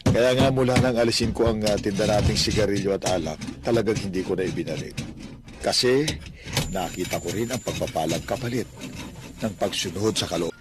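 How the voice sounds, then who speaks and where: An actor playing a kindly, devout middle-aged Filipino father and landlord in a radio drama, speaking gently and earnestly with small hesitations. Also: lowish voice, brisk, background noise, lightly acted. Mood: friendly, earnest.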